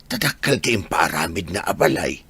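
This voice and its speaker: A gossipy village husband in a radio comedy-drama. He is husky and bright, with lively, swooping pitch and an emphatic way of telling news. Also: moderate pace, heavily acted. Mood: chatty. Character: gossipy, animated village husband chatting with his wife.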